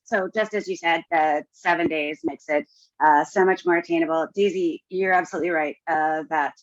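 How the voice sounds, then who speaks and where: A woman's voice: low voice, steady tone, normal speed, dry recording. A woman guest on a podcast panel chatting casually, recorded in a room with slightly dull audio.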